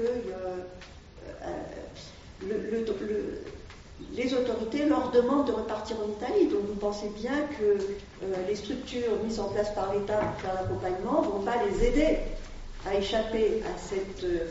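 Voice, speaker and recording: A woman's voice: medium pitch, mellow. A woman explaining the work of an asylum aid group, speaking hesitantly with many fillers and restarts. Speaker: volunteer at an asylum-seeker aid association. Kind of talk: explanatory talk to an audience. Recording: distant, echoey, dull room sound with faint noise.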